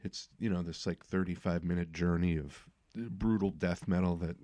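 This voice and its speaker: Middle-aged male podcast host, low voice, laid-back, filler-heavy delivery. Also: moderate pace, no room sound. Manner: relaxed, lots of uh fillers. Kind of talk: casual podcast interview.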